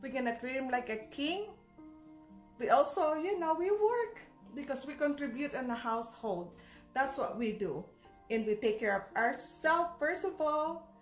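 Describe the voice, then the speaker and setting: A woman's voice: sits high, lively intonation, normal speed, roomy sound, faint music behind. A Filipino woman with a Filipino accent, scolding emphatically in a ranting video reply. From Philippines.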